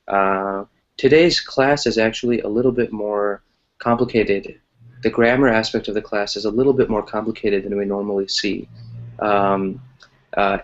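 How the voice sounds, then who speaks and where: A man's voice: fairly low pitch, muffled recording. An American man teaching an online English class, explaining conversationally and encouragingly, often checking in as he goes. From United States.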